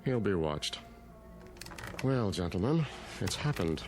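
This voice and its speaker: Casting: courtly, sinister town mayor, deep voice, classic radio drama, soft score behind. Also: male voice, big pitch swings, heavily acted. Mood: grave. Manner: courtly, unctuous, measured politeness. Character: suavely polite, faintly sinister male small-town mayor. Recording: radio drama with music underneath.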